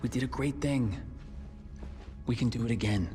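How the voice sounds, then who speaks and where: A man's voice: mid-range voice, normal speed, dark tone, faint music behind, close to an everyday voice. An actor playing a persuasive, reckless, glory-seeking pilot, coaxing his partner in a video game cutscene.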